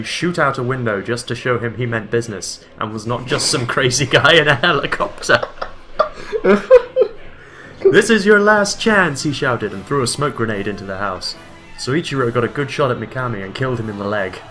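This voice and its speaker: A man giving a comedic dramatic reading of a bad fan fiction, with loud voiced quotes. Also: mid-range voice, moderate pace, faint music behind. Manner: reads deadpan, breaks into laughter, voices quotes loudly.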